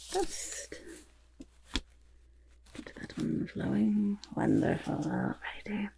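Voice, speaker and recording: Lady playing a smug little goblin artist for asmr, slow n croaky, lots of whispery muttering. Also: lowish voice, mellow, full character voice. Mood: hushed, absorbed. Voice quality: creaky voice, often dropping into breathy whisper. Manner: chatty, boastful, third-person self-talk, halting murmurs. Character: eccentric, self-satisfied goblin artist fawning over a client. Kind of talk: ASMR roleplay, personal attention.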